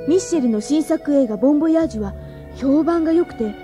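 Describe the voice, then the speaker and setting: Eager high-pitched schoolgirl. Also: expressive, fast, warm tone, soft background music. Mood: narrating brightly. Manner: youthful, eager, girlish. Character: bright, eager high-school girl detective, cheeky with father. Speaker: heroine and narrator. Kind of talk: radio drama narration and dialogue.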